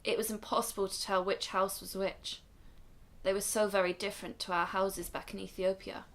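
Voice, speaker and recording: Radio drama grandmother, played by a woman: weary, dignified, solemn, mid-pitched, measured storyteller. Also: level tone, fairly bright tone, natural voice. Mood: solemn, reflective. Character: weary, dignified Ethiopian-Israeli grandmother recalling her flight.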